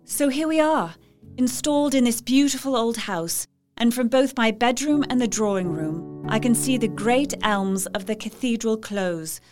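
A woman's voice: on the high side, moderate pace, darkish tone, faint music behind, close to an everyday voice. A woman playing a devoted, cheerful young newlywed reading her affectionate, old-fashioned letter in an audio drama. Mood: fond, delighted.

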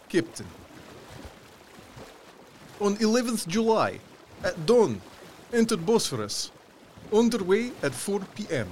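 Male actor as worried ship's captain, high swooping voice, grave log entries, radio-play style, faint water ambience. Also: slight background noise, heavily acted. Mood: grave, methodical. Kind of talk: dramatised log reading, clipped telegraphic phrasing.

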